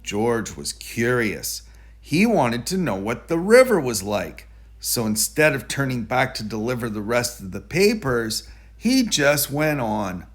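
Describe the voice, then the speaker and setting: A man reading a children's story aloud in an expressive, deliberate storytelling delivery. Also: mid-range voice. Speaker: storybook reader.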